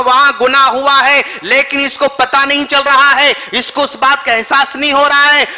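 A man's voice: very high voice, bright, forceful tone. A man in his thirties delivering a Friday sermon as an imam, emphatic and repetitive, speaking into a headset mic in a mosque hall. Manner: emphatic, repetitive, gesturing while preaching.

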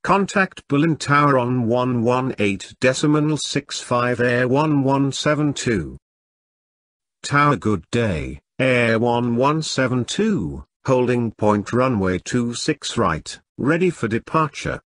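Measured man reading pilot radio phraseology slowly. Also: medium pitch, expressive, fairly bright tone. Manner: measured, formulaic. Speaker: pilot in an English lesson. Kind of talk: scripted radio phraseology readback.

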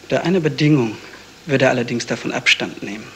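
A bitter male suspect played by an actor in a seventies radio mystery. He sounds resigned and clipped, in a medium-pitched voice. The old recording carries faint noise. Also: fast, lightly acted. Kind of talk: 1970s German radio crime drama acting.

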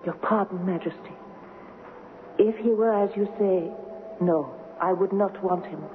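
A woman's voice: mid-range voice, measured pace, lightly acted. An actress playing an earnest, romantic young woman in a 1970s radio drama. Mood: ardent, pleading. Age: young adult. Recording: muffled phone-like 1970s radio, music behind.

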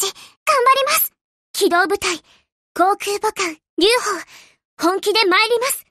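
An actress voicing a shy, earnest young anime warship girl in a game, polite and gentle with a hesitant, stammering manner. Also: very high voice, dry recording, studio quality, heavily acted.

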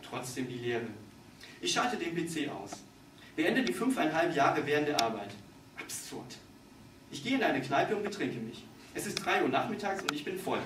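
A man in his thirties giving a deliberate, dramatic literary reading of his own satirical monologue on stage. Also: on the high side, big pitch swings. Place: on stage in a small dark theatre. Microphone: distant camera in the audience. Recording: distant, echoey hall with background noise.

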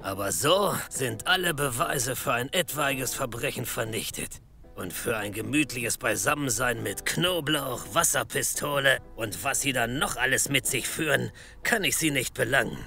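Police captain: authoritative, skeptical, gravelly and tense, for a comedy radio play. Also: male voice, medium pitch, lively intonation, normal speed, sharp tone, faint music behind. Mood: grudging. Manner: stern, exasperated, authoritative. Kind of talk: German comedy audio drama acting.

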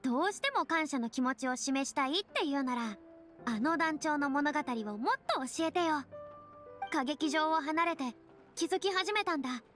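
Anime game stage diva: high, self-assured, theatrical, boyish lofty phrasing, music behind. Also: female voice, expressive, moderate pace, fairly bright tone. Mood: confident, gracious. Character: theatrical, self-assured young female former stage star. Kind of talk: Japanese anime game voice acting.

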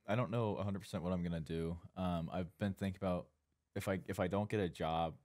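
Young man, college student, podcast guest, casual chat, very low pitch. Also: fairly even intonation, a little fast, a little mellow, dry recording. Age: young adult. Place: at a dining table in a home. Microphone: headset.

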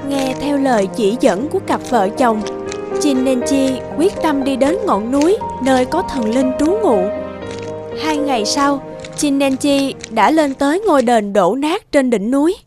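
A woman's voice: high voice, expressive, measured pace. A woman narrating children's fairy tales from a script as a cartoon storyteller. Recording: music behind the voice.

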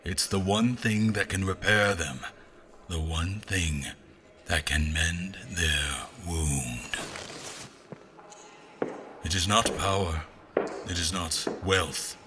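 An actor playing a brooding, grandiose, weary and bitter man, with halting theatrical archaic speech in a fantasy audio drama. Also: deep voice, background noise. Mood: reverent, solemn.

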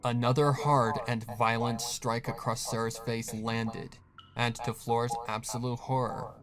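Male narrator for scripted horror fiction, medium pitch, clear crowd noise in the background. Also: little pitch movement, unhurried.

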